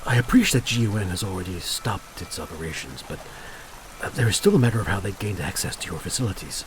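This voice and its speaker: Guy doing tired sarcastic detective, kinda deep, audio drama, loud rain noise all over. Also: animated, quick pace, poor audio. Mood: pensive. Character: exasperated world-weary male detective, wry straight man. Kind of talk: scripted noir-parody audio drama.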